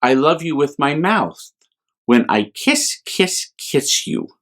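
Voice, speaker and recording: Man voicing a slow, tender mother polar bear. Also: on the high side, sing-song, fairly bright tone, heavily acted. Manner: slow, affectionate, reassuring.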